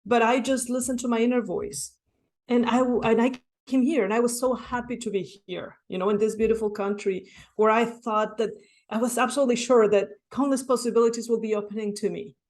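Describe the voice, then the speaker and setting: A woman's voice: a little high, steady tone, a little fast, darkish tone. A woman from Mexico with a Mexican Spanish accent, speaking English as a second language, earnestly telling her story as a podcast guest and often adding "right?". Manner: earnest, reflective, frequent "right?" tags. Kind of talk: conversational interview.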